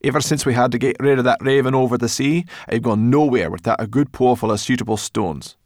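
Guy as a cranky cheeky girl brownie in a storybook, growly and scornful, pushed up high and loud. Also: brisk, no room sound, studio quality, close to an everyday voice. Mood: cocky. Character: grumpy, sharp-tongued female brownie creature. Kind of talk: audiobook character voice.

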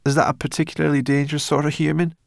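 Man voicing a feisty high-pitched female brownie. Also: flat delivery, very fast, gravelly, no echo, clean studio sound. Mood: scornful, curious. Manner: brash, exclamatory, impatient. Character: feisty, bossy, prickly young female brownie creature.

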